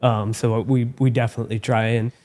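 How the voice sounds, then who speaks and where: A man who founded a skincare company, talking as a guest in a measured, earnest way and often restarting his phrases. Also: mid-range voice, flat delivery, darkish tone. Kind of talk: casual interview chat.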